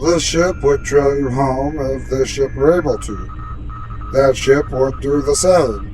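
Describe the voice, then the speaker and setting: Male actor as alien envoy for sci-fi radio drama: very unhurried, formal, earnest, music underneath. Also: medium pitch, darkish tone, close to an everyday voice, poor audio. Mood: solemn, candid. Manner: slow, stilted, formal phrasing. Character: gentle, earnest alien envoy speaking stilted formal English. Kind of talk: golden age sci-fi radio drama acting.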